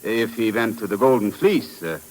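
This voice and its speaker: A man's voice: fairly low pitch, expressive, a little mellow, lightly acted. Guy doing a formal probing police inspector, old radio drama, voice lilts up and down a lot. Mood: polite suspicion. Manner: polite, deliberate, formal. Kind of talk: 1950s radio drama acting.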